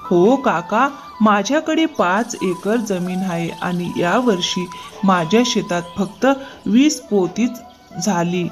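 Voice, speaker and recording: A woman voicing an earnest, polite young male farmer in an animated moral story. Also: mid-range voice, takes it slowly, faint music behind.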